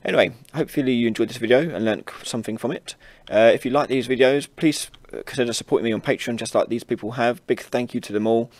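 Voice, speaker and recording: A man hosting an informal software tutorial, casually explaining each step as he demonstrates it on a close mic. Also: medium pitch, fast, hardly any pauses, no echo. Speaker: tutorial host. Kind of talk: informal screen-recorded software tutorial narration.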